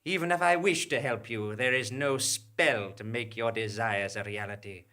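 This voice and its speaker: A man's voice: medium pitch, moderate pace, sharp tone, close to an everyday voice. A man acting a cynical, repressed fraud wizard in a live stage comedy, pompous and grandiose in delivery. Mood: weary, cynical. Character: unmasked male fraud wizard, cynical, repressed, posing as enchantress.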